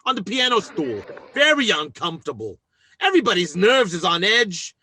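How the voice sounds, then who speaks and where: An actor playing a scheming, self-important working-class husband in an old-time radio sitcom, with blustery, folksy speech. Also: male voice, high-pitched, big pitch swings, moderate pace, sharp tone, breathy edge, full character voice. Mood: awkwardly persuasive.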